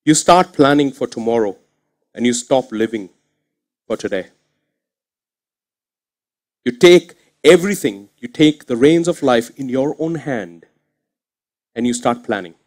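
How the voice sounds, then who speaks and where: A man in his thirties preaching a sermon on a church stage into a headset mic, earnest and swinging from quiet asides to emphatic points. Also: medium pitch, animated, unhurried, long pauses. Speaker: pastor. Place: church stage with curtain backdrop.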